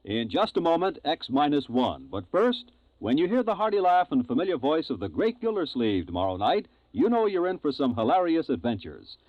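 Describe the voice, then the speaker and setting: Male announcer, fairly high lively voice, scripted 1950s promo style, dull old radio recording. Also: fairly quick, few pauses, soft background music.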